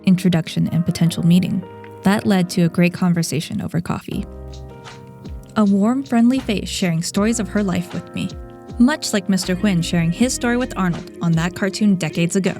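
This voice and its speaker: A woman hosting a podcast, giving a measured, reflective scripted narration. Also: lowish voice, a little mellow, soft background music. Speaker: podcast host.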